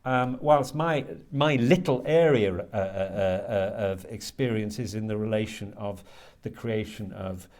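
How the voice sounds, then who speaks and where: A man answering interview questions as a writer and lecturer, thinking aloud haltingly and often repeating words as he works out his ideas. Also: lowish voice, darkish tone. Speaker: guest, creative writing lecturer and writer.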